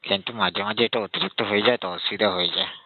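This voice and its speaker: A man with a gravelly voice chatting casually and intimately, in a complaining, coaxing way, over a tinny phone line. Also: lowish voice, fairly even intonation, very fast, no echo.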